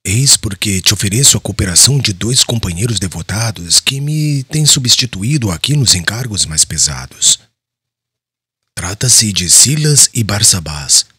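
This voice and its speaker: Guy acting as solemn prudent apostle, deep and really raspy, measured audiobook drama, dry close sound. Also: lively intonation, sharp tone, no echo, heavily acted. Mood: grave, concerned. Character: Simon Peter, prudent, concerned male apostle, former fisherman.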